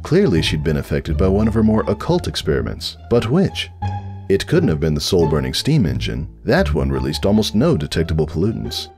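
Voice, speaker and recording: A man narrates a comic story with a wry, theatrical delivery. His pitch is on the low side and moves very widely, with music underneath. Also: very animated, brisk. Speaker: narrator, fanfiction reader. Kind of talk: dramatic scripted comic narration.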